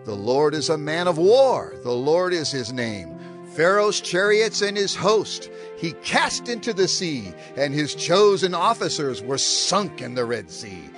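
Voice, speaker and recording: An actor playing an exultant worshipper, fervently declaiming praise in a dramatized scripture recitation. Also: male voice, a little high, big pitch swings, a little slow, fairly bright tone, soft background music, exaggerated voice.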